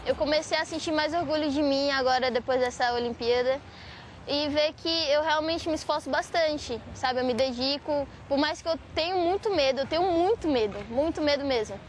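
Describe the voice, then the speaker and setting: A woman's voice: high voice, fairly quick, soft background music. A young woman athlete answering interview questions in a casual, candid way. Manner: casual, candid, uses fillers like sabe.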